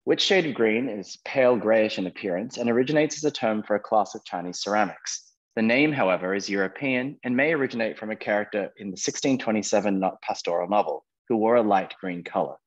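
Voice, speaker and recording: A man with a low, slightly husky voice hosts a quiz over a dull, lo-fi connection. He reads questions out to contestants and is encouraging as he moves between players. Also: fairly quick. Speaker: quiz host. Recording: dull lo-fi online call audio.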